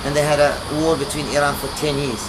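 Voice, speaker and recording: A middle-aged man from Iraq, talking in a casual interview in halting, broken English with many restarts. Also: fairly high pitch, monotone. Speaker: musician guest. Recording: heavy background noise.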